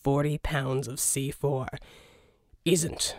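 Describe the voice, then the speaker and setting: A woman voicing a gruff, wary, sardonic male mercenary in an audiobook, muttering in a terse deadpan. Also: rumbling low, slow, no room sound, studio quality, pitched below the performer's own voice. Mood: resentful, deadpan. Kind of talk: audiobook character dialogue.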